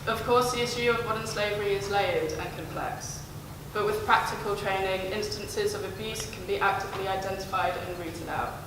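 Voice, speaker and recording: A woman delivering a prepared speech to an audience in a measured, formal way. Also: mid-range voice. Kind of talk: prepared speech read aloud. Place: large hall, audience present. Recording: distant, echoey hall with noise.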